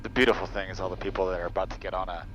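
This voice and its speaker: A man's voice: low voice, steady tone, rapid-fire, a bit bright. A man chatting casually as he guides a pilot companion in a light aircraft. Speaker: pilot companion guiding the flight. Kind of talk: casual cockpit chat. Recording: muffled, noisy aircraft cockpit.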